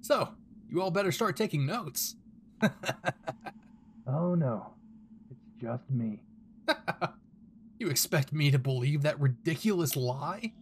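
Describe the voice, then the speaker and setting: A man's voice: fairly high pitch, expressive, takes it slowly, long pauses, slight background noise. A man voicing a cruel, sneering male villain henchman in an anime fan audio drama, theatrically mocking and taunting. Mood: threatening.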